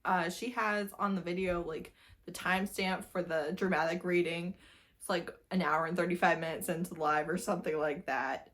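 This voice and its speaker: A woman's voice: lowish voice, fairly even intonation, moderate pace, a bit bright. A woman giving a casual book review in a giggly, gushing way, often repeating words for emphasis. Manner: giggly, laughs often while talking. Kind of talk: casual monthly reading wrap-up chat.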